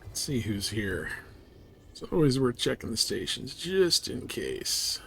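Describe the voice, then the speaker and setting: A man's voice: medium pitch, sing-song, a little slow, creaky, a bit bright, slight background noise. A man giving casual live commentary on a game, chatting and thinking aloud. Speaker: game streamer.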